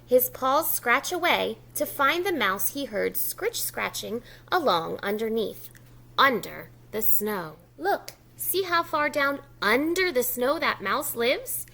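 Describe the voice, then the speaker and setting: Female preschool storytime reader: slow, very expressive delivery, lively pitch swings, encouraging asides for little listeners. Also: vocal fry. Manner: expressive, encouraging, simple child-directed talk with prompts to repeat words. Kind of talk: children's picture-book read-aloud with asides to young listeners.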